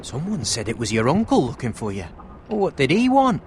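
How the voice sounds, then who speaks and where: An actor playing a curious, easygoing young brother-in-arms in a fantasy game, casual and inquisitive. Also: male voice, fairly high pitch, huge pitch swings, moderate pace, a little mellow, slight background noise. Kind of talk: dramatic fantasy game acting.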